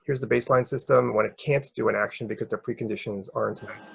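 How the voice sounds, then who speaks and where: A man presenting academic research as a researcher, explaining step by step in a hesitant, halting way with a gravelly, husky voice. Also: lowish voice, steady tone, a little hiss or hum.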